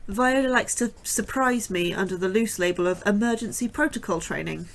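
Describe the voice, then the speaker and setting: A nervous young female scientist, played by a woman, narrating her diary. Her delivery is wry and deadpan, full of flat understatement, and her voice sits at an ordinary pitch for a woman. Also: moderate pace, gravelly, lightly acted, poor audio. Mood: dry. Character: anxious young female astrobiologist.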